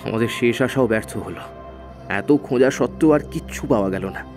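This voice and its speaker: An actor playing a doubtful, troubled young man in a horror audio drama, speaking gravely and hesitantly. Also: mid-range voice, animated, a little fast, darkish tone, close to an everyday voice. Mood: disheartened. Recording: clear music bed behind voice.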